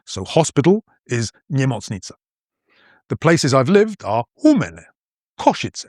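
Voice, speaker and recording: Man in his fifties, posh English accent with northern traces, animated up-and-down delivery, close dry recording. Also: medium pitch, measured pace, dry recording. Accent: English, mostly posh with northern traces. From northern England. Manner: playful, explanatory, lively storytelling. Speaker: podcast host and language teacher. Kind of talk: scripted educational podcast narration.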